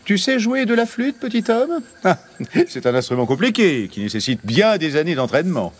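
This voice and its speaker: Smug, animated palace courtier. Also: male voice, high voice, moderate pace, husky, soft background music, heavily acted. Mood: condescending. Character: condescending, obsequious palace courtier. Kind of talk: French children's cartoon voice acting.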